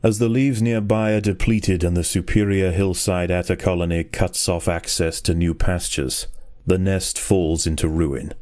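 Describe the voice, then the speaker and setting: A man reading scripted nature documentary narration for a game in a measured, grave, dramatic manner. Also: sits low, fairly even intonation, a little mellow.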